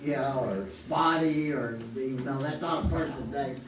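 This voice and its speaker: A man's voice: medium pitch, a little slow. A man answering casually as a game show contestant, thinking aloud as he goes. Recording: echoey, distant mic with faint noise.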